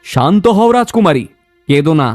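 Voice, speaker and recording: Heroic fairy-tale king, male performer: high male voice, brave, authoritative, kind. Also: animated, normal speed, a little mellow, soft background music. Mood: reassuring. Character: brave noble king, commanding yet kind. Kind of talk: cartoon fairy-tale dialogue.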